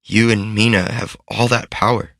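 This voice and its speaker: A man's voice: on the low side, steady tone, normal speed, creaky, fairly bright tone, dry recording, studio quality, pitched above the performer's own voice. A man voicing a timid young boy who talks in a hesitant, self-doubting way. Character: timid, tearful young boy, unsure of himself.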